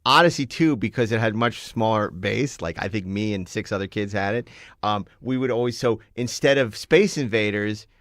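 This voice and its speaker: A man's voice: on the low side, moderate pace, a bit bright, dry recording, clean studio sound. A middle-aged man hosting a casual panel chat, telling jokey, enthusiastic stories. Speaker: host of a movie discussion show.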